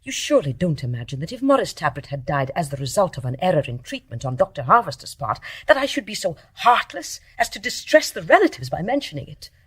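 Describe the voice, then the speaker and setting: An actress playing a principled, stubborn English nurse in a period radio drama, speaking precisely and formally with firm insistence. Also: female voice, fairly low pitch, big pitch swings, fast, few pauses, slightly rough. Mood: defiant. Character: principled, stubborn private nurse making a grave accusation. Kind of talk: 1920s stage play as radio drama.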